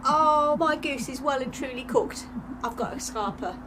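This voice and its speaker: A woman's voice: sits high, moderate pace, sharp tone, slight background noise. A British woman playing a streetwise, exasperated young servant, blunt and slangy, in an audio drama.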